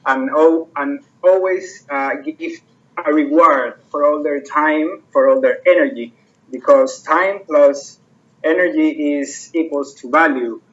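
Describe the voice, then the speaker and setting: A man, the project owner, talking about his project in a casual interview and filling pauses with lots of "uh"s. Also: fairly high pitch, fairly bright tone, dull audio. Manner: hesitant, many fillers and restarts.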